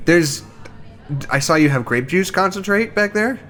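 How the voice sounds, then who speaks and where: Hesitant, higher-voiced concerned man. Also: expressive, bright, forceful tone, faint music behind, heavily acted. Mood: curious. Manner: halting, questioning, casual. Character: concerned, hesitant male coworker chatting at a bar. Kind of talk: naturalistic audio drama dialogue.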